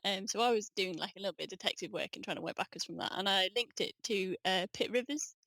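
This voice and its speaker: A woman's voice: medium pitch, quick pace, sharp tone, dry recording. Chatty young woman, dry close sound. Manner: enthusiastic, chatty, frequent "um" and "like". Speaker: MA student guest. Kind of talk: casual conversational interview.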